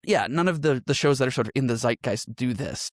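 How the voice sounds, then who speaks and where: A man's voice: mid-range voice, animated, a little fast, no room sound, studio quality. A man telling his story as a podcast guest in a conversational, reflective way, often checking in with a "right?" as he explains. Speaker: podcast guest, improv teacher and game master.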